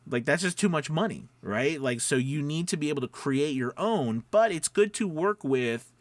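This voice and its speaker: A man's voice: fairly high pitch, expressive, moderate pace, no echo. Man coaching casually in a dry, close recording. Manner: conversational, rambling, encouraging, checking in with "right?". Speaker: voice-over coach and demo maker. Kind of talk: informal instructional talk to viewers.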